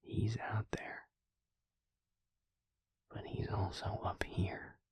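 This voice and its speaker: A man playing a protective, weary but tender vampire mate in an intimate audio roleplay, speaking in a hushed, breathy, gravelly voice with a deliberate, reassuring manner. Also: no room sound, in a whisper. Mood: gentle, reassuring.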